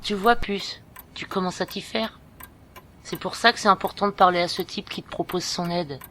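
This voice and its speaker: A woman's voice: on the low side, moderate pace, fairly bright tone, slight background noise, lightly acted. A woman playing a caring, frank and bossy young student friend, coaxing bluntly in informal audio drama dialogue. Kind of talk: amateur French audio fiction dialogue.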